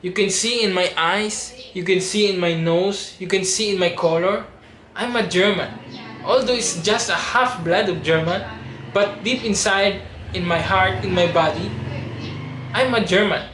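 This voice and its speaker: A man's voice: high-pitched, measured pace. A man in his twenties with a Filipino accent, making an earnest, pleading personal appeal to camera. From Philippines. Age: 20s. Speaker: reality show audition applicant. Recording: roomy echo with steady background noise.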